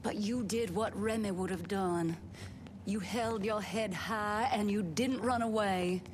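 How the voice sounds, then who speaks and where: Southern American society hostess, played by a woman, mid-pitched, formal, measured eulogy delivery, video game, noisy ambience. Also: lightly acted. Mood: solemn, gracious. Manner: formal, measured public address.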